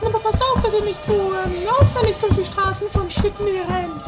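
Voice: very high-pitched, steady tone, normal speed. A performer voicing a bossy, scornful, melodramatic sister in an improvised trashy comedy radio play. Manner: haughty, exclaiming. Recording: music under amateur 1980s radio play.

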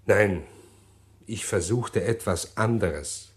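An actor playing a middle-aged man who calmly confesses a murder plot in an old radio play, measured and hesitant. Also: on the low side. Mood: calm confessing. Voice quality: measured, deliberate, hesitant with pauses. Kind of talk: 1950s radio drama acting.

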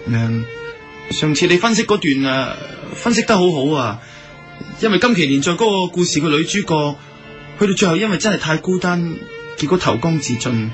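An actor with a Hong Kong Cantonese accent playing a naive, lovesick young shop owner, gushing and stammering in a radio drama. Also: male voice, on the high side, very animated, normal speed. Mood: sheepish, awkward. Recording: music behind the voice.

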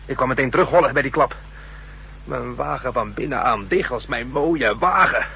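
An actor playing an indignant, excitable chauffeur who blusters his way through a 1960s radio drama. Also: medium pitch, normal speed, gravelly. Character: gruff, indignant male chauffeur. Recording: hissy, humming 1960s radio recording.

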